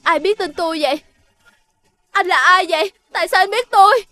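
A woman's voice: extremely high pitch, normal speed, sharp tone, dry recording. An actress playing a desperate young woman who pleads in tears. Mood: alarmed. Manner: sobbing, begging.

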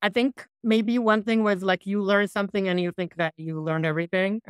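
A man in his forties from Iraq, a software founder, answering interview questions on a laptop video call in a small room, thoughtful and loose with restarts. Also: high-pitched, steady tone, normal speed, clear, resonant tone, dry recording, studio quality. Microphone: laptop mic on a video call.

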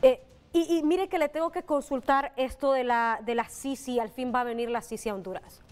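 A woman's voice: high-pitched, normal speed, clean tone, in a large hall, soft background music. A young woman anchoring a TV news show from the studio, asking polite, concerned questions.

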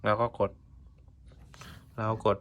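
A man's voice: takes it slowly, fairly bright tone, dull audio. A man giving a casual hands-on tutorial, explaining informally step by step in short phrases. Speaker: vlogger giving a product tutorial.

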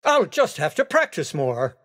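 A man voicing a theatrical, excitable skeleton gentleman in a racing video game, with courteous, exclamatory delivery. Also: a little high, unhurried, exaggerated voice. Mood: dismayed. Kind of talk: video game character voice clips.

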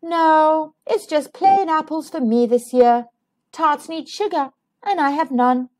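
A woman voicing a graceful, gentle female deer in a children's storybook, sweet and earnest. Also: high-pitched, takes it slowly, voice pushed up. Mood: resigned.

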